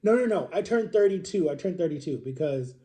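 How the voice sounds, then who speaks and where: A man's voice: a little high, animated, a little fast, warm tone. A man in his thirties telling personal stories to viewers in a casual, chatty vlog style, rambling and correcting himself with emphatic repetition. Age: 30s. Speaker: vlogger.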